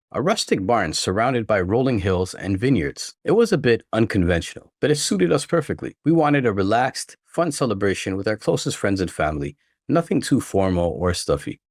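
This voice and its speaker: A man's voice: fairly low pitch, dry recording, clean studio sound. A man reading a scripted first-person relationship story as a narrator. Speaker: narrator reading online stories.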